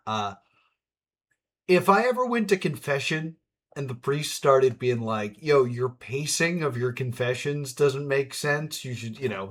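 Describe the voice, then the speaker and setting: A man hosting a let's play, giving casual commentary in an informal, halting way as he thinks aloud. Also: mid-range voice, a bit bright.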